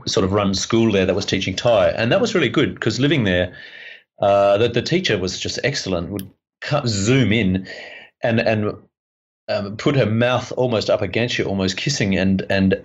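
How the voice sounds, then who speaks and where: A man's voice: fairly low pitch, breathy edge, highs cut off. A man with an Australian accent, a guest rambling through stories in casual podcast chat and laughing often.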